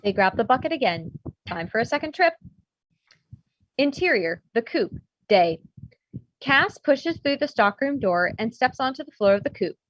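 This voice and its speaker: A woman's voice: a little high, a bit bright, no echo. A woman giving scripted narration, reading stage directions for a comedy table read. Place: remote virtual table read. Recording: muffled video-call audio.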